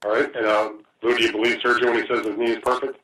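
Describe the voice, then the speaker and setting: A man's voice: mid-range voice, little pitch movement, quick pace, a bit bright, echoey room. A man asking questions as a reporter on a conference call, over a distant phone line.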